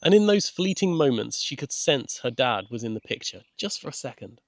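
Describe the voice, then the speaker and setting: Male storyteller, medium pitch, gentle measured read of a children's novel, very little room sound. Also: very animated, no room sound. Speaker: author and narrator. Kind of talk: scripted children's audiobook narration.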